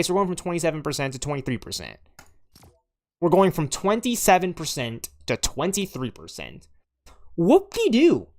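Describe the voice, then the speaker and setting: Chatty young man with a gravelly voice. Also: fairly high pitch. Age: 20s. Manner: rambling and chatty, with many fillers and repeats. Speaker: gaming streamer. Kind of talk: casual gameplay commentary.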